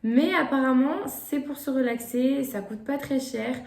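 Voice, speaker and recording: A woman's voice: high-pitched, measured pace, creaky, darkish tone. A young woman vlogging gift ideas in casual chat to the camera, hesitant, with many fillers and restarts. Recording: echoey room.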